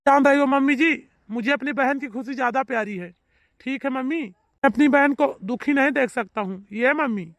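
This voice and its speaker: A man voicing a devoted, gentle adult brother in a melodramatic cartoon, earnest, emphatic and reassuring. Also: very high-pitched, moderate pace, no room sound, clean studio sound. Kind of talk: melodramatic Hindi cartoon family drama voice acting.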